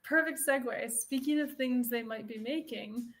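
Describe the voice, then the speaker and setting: A woman's voice: on the high side, normal speed. A woman chatting casually as a panelist on a podcast. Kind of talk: casual chat. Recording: noisy room ambience behind voice.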